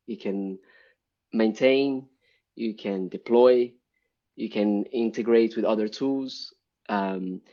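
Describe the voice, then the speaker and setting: A man's voice: lowish voice, mellow. A man from Brazil, a guest on a casual live-stream interview, explaining at length with many ums and restarts. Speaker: guest, UI developer. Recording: dull lo-fi stream audio.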